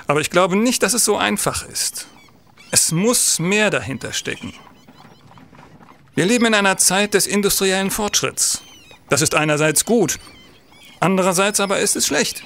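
Man acting a high-pitched stern police senator. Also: fairly bright tone. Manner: grave, rhetorical, emphatic. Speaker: actor in an audio drama. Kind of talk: German radio play acting.

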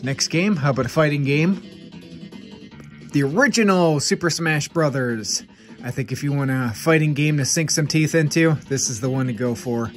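A man's voice: on the high side, faint music behind. A man hosting a gaming channel, chatting casually while he recommends games, sounding a little stuffed up from congestion and keeping an upbeat, chatty manner. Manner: upbeat, chatty, quick asides. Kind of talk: casual game recommendations to camera. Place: room with game shelves, indoors.

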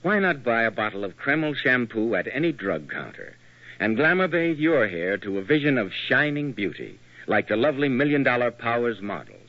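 A polished male radio announcer selling in 1940s style. His medium-pitched voice swings expressively, close and dry on a dull old recording. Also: very animated, moderate pace, dry recording. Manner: polished, salesmanlike.

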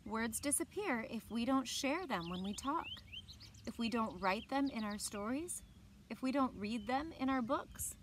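A woman reading the part of an earnest, loving grandmother in a children's storybook, with gentle emphasis. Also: fairly high pitch, expressive, a little slow, noisy background. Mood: earnest, wistful.

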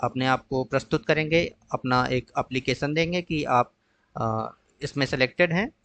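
A man patiently walking viewers through an online counselling process in a screen-share tutorial, pausing often with "uh". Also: mid-range voice, fairly bright tone, dry recording. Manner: patient, explanatory, mixes English phrases with frequent "uh" pauses. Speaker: tutor explaining a college allotment process.

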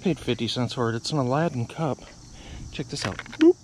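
A man's voice: medium pitch, lively intonation, a little fast, darkish tone. Middle-aged male reseller, offhand and musing, outdoor body-cam audio with insect noise. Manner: offhand, thinking aloud, unsure of values. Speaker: reseller showing his haul. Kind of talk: casual vlog narration. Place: outdoors by a car trunk, rural.

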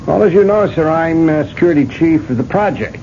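An American actor playing a deferential, plain-spoken corporate security chief reporting informally in a radio drama. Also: male voice, expressive, fairly quick, warm tone. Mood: matter-of-fact. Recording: dull vintage radio recording, faint rumble.

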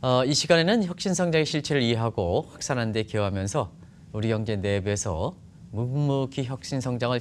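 Low-voiced middle-aged man hosting. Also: a little hiss or hum. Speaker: TV show host. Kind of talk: broadcast interview hosting. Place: bright TV studio. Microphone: lapel mic.

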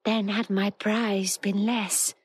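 An actress playing a gentle, sorrowful young princess disguised as a boy, speaking Shakespearean verse with wistful courtesy. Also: medium pitch, flat delivery, slow pace, slightly rough, no room sound. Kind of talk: theatrical Shakespearean verse acting.

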